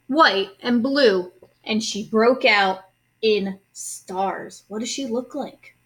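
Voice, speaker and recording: A woman reading a children's story aloud expressively, pausing in a teacherly way to ask questions and explain to young listeners. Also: mid-range voice, fairly bright tone, low-quality recording. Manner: instructive, pauses to ask and explain.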